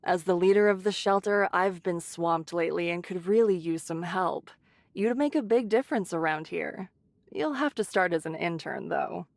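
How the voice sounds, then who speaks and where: A woman voicing a caring, brisk shelter leader who scolds in a motherly, teasing way, in an English anime game. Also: lowish voice, gravelly, no echo.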